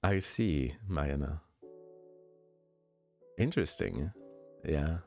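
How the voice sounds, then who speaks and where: A young man with a light French accent, a streamer chatting casually with viewers, relaxed and hesitant with many fillers and restarts. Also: rumbling low, takes it slowly, vocal fry, faint music behind. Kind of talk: casual chat answering viewers.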